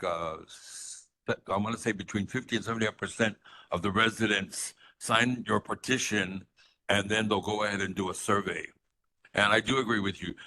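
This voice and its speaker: A man's voice: lowish voice, bright, forceful tone, slight background noise. A man from Hawaii speaking as a neighborhood board member at a public meeting, courteous and explanatory, offering help. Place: community meeting room.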